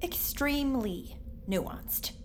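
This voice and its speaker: A woman's voice: high voice, expressive, slow, a little hiss or hum. An actress playing a stubborn, wry young woman who fights monsters in an audio drama.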